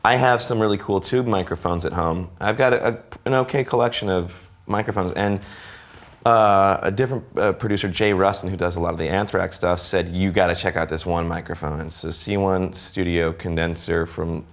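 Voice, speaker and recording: Deep-set male host, nasal tone, loose conversational delivery. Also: steady tone, normal speed. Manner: rambling, self-correcting, thinks aloud. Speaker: musician and show host. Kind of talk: casual studio conversation.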